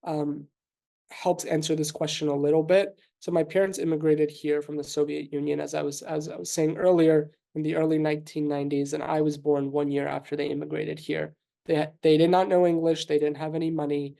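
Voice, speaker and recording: Man, 30s, New York–raised, Russian first language; physician guest; gravelly, mellow, flat delivery; measured, filler-heavy. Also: on the high side. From New York City. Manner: thoughtful, measured, frequent fillers and restarts. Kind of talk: conversational interview answers.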